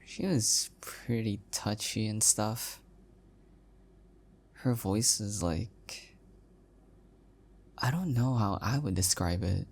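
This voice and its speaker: A man playing an adorkable, self-deprecating young husband in an intimate one-sided audio roleplay, speaking softly and hesitantly with affection. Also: on the low side, slow, vocal fry, pauses often, husky, heavily acted. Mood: bashful, unsure.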